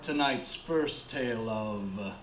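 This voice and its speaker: A man narrating as host of a radio suspense anthology, with measured, theatrical scripted delivery. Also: on the low side. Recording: echoey, loud music underneath. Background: loud music.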